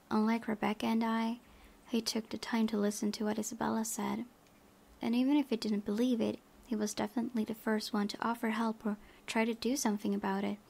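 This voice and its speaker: Woman, gaming host, gravelly fry, medium pitch, reading story text aloud, casual commentary. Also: a little mellow.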